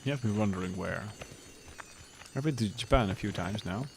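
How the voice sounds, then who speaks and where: Man, thirties, lowish voice, relaxed rambling chat, faint background hiss. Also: normal speed, creaky. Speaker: streamer. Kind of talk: casual chat with viewers.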